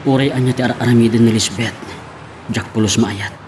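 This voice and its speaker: A man's voice: medium pitch, fairly even intonation, a little fast, a little mellow, lightly acted. A man acting an earnest, stubborn young employee in love, in an Ilocano audio drama. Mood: firm. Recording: clear background noise and ambience.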